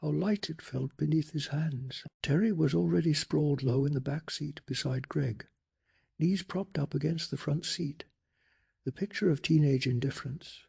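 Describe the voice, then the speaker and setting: Soft-spoken, husky man narrating bedtime stories. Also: on the high side. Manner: calm, measured, gentle delivery. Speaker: narrator of a bedtime story channel.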